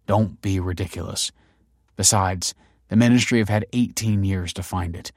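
A man's voice: sits low, normal speed, no room sound, clean studio sound. A man playing a weary, evasive husband in a narrated story, placating and defensive. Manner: hesitant, placating.

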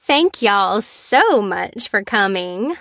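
A woman's voice: medium pitch, a bit bright, dry recording, lightly acted. Narrator lady doing a doting mom around thirty, gushy and cooing, drags her words, super dry audiobook sound. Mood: gushing, elated. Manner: gushing, cooing, drawn-out words. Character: gushing, playful thirty-year-old Southern American mother.

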